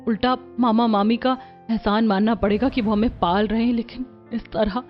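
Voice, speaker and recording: A woman plays a young elder sister in a cartoon, gentle and resigned. Her high-set voice is subdued and weary, with music behind and slightly muffled sound. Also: fairly even intonation, normal speed, natural voice.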